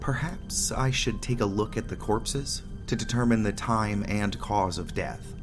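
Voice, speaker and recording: Clinical corpse examiner played by a woman: extremely low female voice, matter-of-fact, gothic drama, music behind. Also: a little slow. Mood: clinical, curious. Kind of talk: gothic horror audio drama acting.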